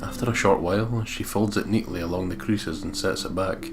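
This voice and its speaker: Low-voiced man narrating over guitar music. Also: steady tone, fairly quick. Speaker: let's play host reading a visual novel aloud. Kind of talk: expressive scripted narration with casual asides. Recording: guitar game music behind voice. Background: acoustic guitar game soundtrack.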